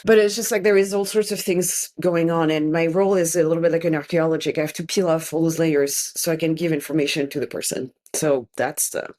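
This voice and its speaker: A woman with a French accent, a native French speaker, speaking as a guest in a casual interview and telling her story in a lively, animated way with frequent restarts. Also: deep voice, brisk, hardly any pauses. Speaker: guest, tarot reader.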